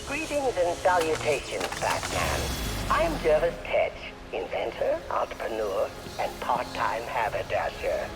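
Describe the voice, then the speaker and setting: A man's voice: mid-range voice, huge pitch swings, unhurried, loud music behind the voice. A man playing an eccentric, grandiose hat-maker villain in a video game, florid and over-polite. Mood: courtly menace. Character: eccentric, grandiose haberdasher villain, whimsically courteous. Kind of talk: video game cutscene acting.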